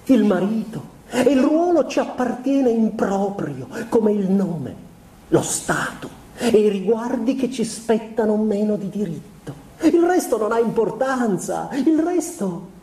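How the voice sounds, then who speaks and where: A male actor playing an introspective, guilt-ridden young man, delivering a literary stage monologue in measured, expressive recitation. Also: high voice. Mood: resigned, bitter. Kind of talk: literary theatrical monologue in a confessional tone. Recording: roomy echo, clear background noise.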